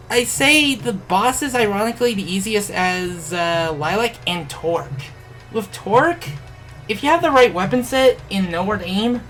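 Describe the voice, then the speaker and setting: Woman, unhurried pace, halting and self-correcting, casual gameplay commentator, faint music behind. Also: mid-range voice, a bit bright. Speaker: video game player commentator. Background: game music.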